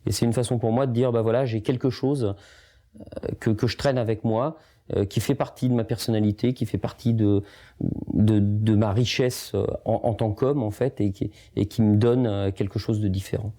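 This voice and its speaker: Hesitant low-voiced man, dark tone. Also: fairly even intonation, normal speed. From Portugal. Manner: hesitant, many fillers and restarts. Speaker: documentary interviewee. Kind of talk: reflective interview testimony.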